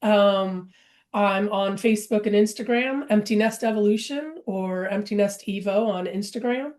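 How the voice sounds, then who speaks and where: A woman's voice: mid-range voice, moderate pace, a little mellow. A woman guest on a podcast, telling stories in an upbeat, casual way.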